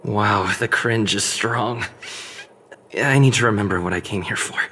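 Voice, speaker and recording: A man's voice: on the low side, measured pace, pushed and bright, slightly rough, full character voice. A man playing an investigator in a video game, speaking in dry, matter-of-fact inner monologue. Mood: dry disdain.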